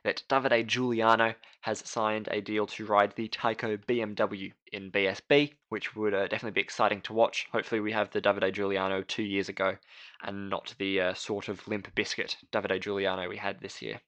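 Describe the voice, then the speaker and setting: Bright, chatty man talking motorsport. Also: on the low side, little pitch movement, a little fast. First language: English. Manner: chatty, frequent fillers and restarts. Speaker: podcast co-host. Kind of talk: casual motorsport chat.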